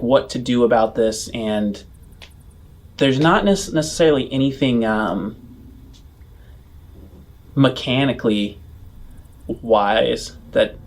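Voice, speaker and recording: A man working as game master, narrating a tabletop game off the cuff in a loose, halting, conversational way. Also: medium pitch. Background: music.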